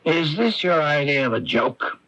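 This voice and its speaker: An actor playing a stern, uneasy male boss with a gruff, curt manner and a gravelly, husky voice in a radio mystery drama. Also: on the high side, expressive, unhurried, sharp tone, dry recording. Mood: uneasy, defensive. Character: stern, indignant male boss facing threats, dismissive and uneasy. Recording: dull, lo-fi 1980s radio broadcast.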